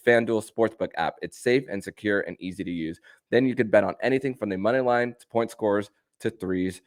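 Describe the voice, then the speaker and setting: A man's voice: fairly low pitch, no room sound, studio quality. A man speaking as the host of a sports podcast. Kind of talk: podcast interview.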